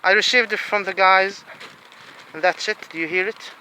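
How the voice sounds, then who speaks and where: Agitated high-voiced Saudi-accented man. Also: steady tone, normal speed, noisy background. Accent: Saudi Arabian. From Saudi Arabia. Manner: agitated, swings between low muttering and loud outbursts. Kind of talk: ranting monologue to camera.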